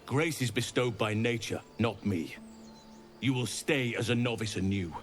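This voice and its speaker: An actor playing a solemn, authoritative archdruid in a fantasy video game, speaking in a measured, formal and stern way. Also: male voice, medium pitch, faint music behind, close to an everyday voice.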